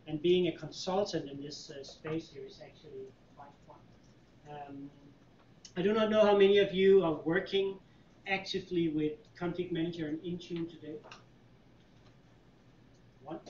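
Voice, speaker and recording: Danish guy giving a talk, fairly high voice, roomy echo, mic kinda far off. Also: normal speed, long pauses, slight background noise. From Denmark. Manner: relaxed, chatty, many fillers and restarts, laughs with the audience. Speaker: conference presenter, consultant. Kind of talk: user group tech presentation. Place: meeting room. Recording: echoey room, distant mic, dull lo-fi sound.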